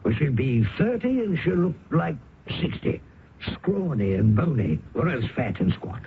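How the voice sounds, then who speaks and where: A wry, worldly male writer tells the story and weighs in with dry irony. His pitch rises and falls a great deal. The recording is tinny, like an old radio broadcast, with a clear hiss under it. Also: very animated, measured pace. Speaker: narrator and character. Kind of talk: 1970s radio drama acting.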